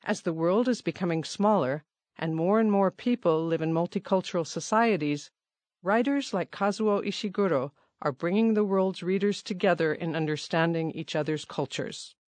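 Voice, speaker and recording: A woman reading a listening-test passage aloud in a slow, careful, even scripted narration. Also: on the low side, no echo.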